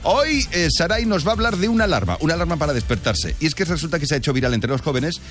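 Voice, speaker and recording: A male radio host with a Spain accent and a fairly high voice that swings widely in pitch. He chats in an encouraging, bantering morning-show style, with some music playing behind him. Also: animated, fast, a bit bright. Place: radio studio.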